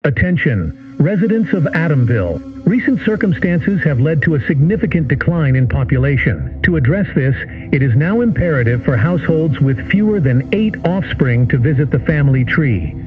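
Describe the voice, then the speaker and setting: A man voicing a formal emergency broadcast announcer for a horror series, reading warnings in a flat official manner. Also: a little high, lightly acted. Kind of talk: played clip from an analog horror series. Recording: tinny lo-fi broadcast with music.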